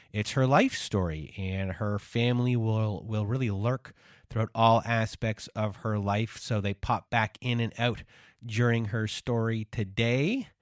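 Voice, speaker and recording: A male podcast host speaking in a measured, earnest tone. His voice sits at an ordinary pitch and sounds close and dry, with no room around it. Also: dry recording. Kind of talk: podcast introduction.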